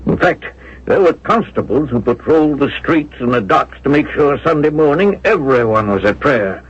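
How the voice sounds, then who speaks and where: An actor playing a grieving, bewildered man recalling his lost love in a 1970s radio drama, earnest and halting in distress. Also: a little high, expressive, slightly rough. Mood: confiding. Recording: muffled vintage radio broadcast.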